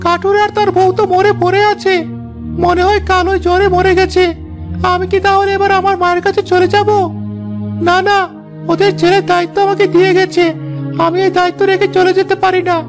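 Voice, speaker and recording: A woman's voice: very high voice, flat delivery, brisk, over music. A woman voicing an earnest, childlike young flying horse, loyal and caring, in a fairy-tale cartoon. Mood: earnest, resolute.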